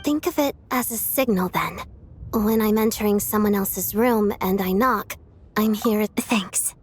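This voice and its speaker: An actress voicing a secretive, earnest female undercover operative in a video game, with a measured, confiding delivery. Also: medium pitch, a little hiss or hum, close to an everyday voice. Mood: polite, composed.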